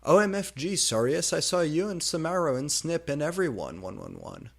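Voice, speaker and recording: A man's voice: on the high side, wildly swooping pitch, normal speed, vocal fry, pitched above the performer's own voice, heavily acted. A man voicing a melodramatic, foul-mouthed gothic teenage girl in a story, with exaggerated delivery. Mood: shocked.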